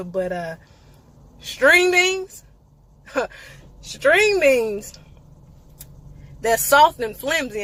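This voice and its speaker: Young woman, lively sassy vlog storyteller. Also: fairly high pitch, rough recording. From eastern North Carolina. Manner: animated, playful storyteller with sassy asides. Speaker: vlogger.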